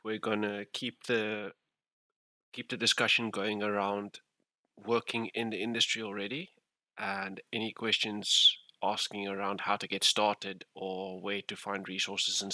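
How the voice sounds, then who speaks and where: A man's voice: on the low side, pushed and bright, no room sound, low-quality recording. A man hosting a casual livestream interview, talking in loose run-on phrases and laughing often. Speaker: livestream host.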